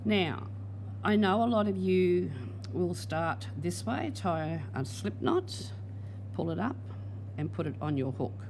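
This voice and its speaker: A woman teaching crochet step by step, patient and plain, her voice going hoarse. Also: on the low side, expressive, takes it slowly, noisy background. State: losing her voice. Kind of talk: crochet tutorial.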